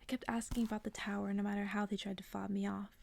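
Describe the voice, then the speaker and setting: A woman reading a bedtime story as ASMR in a soft, breathy whisper, hushed and gentle. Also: medium pitch, level tone, quick pace. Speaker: ASMR creator reading stories aloud. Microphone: close-up microphone.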